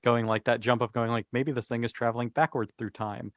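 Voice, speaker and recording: A man's voice: medium pitch, level tone, a little fast, dry recording, studio quality. A man chatting casually as part of a panel discussion. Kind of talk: casual panel chat about a TV show.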